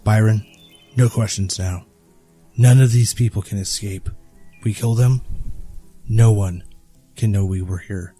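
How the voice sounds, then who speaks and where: Man playing a dark-voiced, grim swordsman. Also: lowish voice, steady tone, measured pace, pauses often, soft background music, natural voice. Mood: grim, commanding. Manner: commanding, dismissive. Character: grim, confident swordsman bodyguard, cold and ruthless. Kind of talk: audio drama acting.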